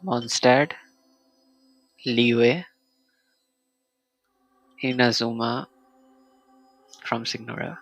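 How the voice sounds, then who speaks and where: A man casually commentating a solo game live stream, muttering to himself and reading item text aloud in a halting way. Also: mid-range voice, vocal fry, fairly bright tone, faint music behind, poor audio.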